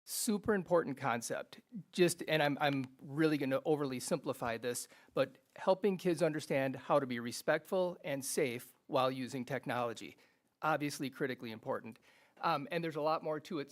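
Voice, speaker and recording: Friendly, chatty man, somewhat high voice, introducing presenters at a board meeting. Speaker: district administrator.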